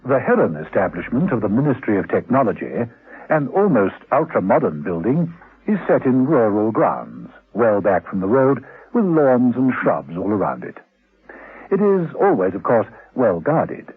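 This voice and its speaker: A man playing the wry narrator of a spy radio serial, telling it in a measured, theatrical, deliberate way. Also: mid-range voice, very animated, breathy edge, phone-quality sound. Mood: suspenseful, wry. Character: wry, suspenseful storyteller of a spy thriller. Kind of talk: dramatic scripted radio narration. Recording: band-limited old 1970s radio recording.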